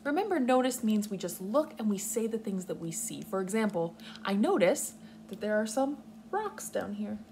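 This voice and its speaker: Casting: young adult American woman, kindergarten teacher reading and explaining to children, medium-pitched voice, noisy living-room recording. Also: big pitch swings, normal speed, darkish tone. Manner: instructive, simple wording with clear explanations. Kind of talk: read-aloud lesson for young children. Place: living room on a couch, indoors. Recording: clearly noisy home recording.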